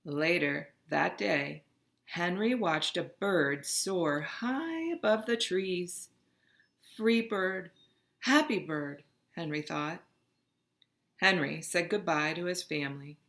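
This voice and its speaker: A woman reading a children's picture book aloud in an expressive, dramatic narrating style. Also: lowish voice, slow, vocal fry. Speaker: storybook reader.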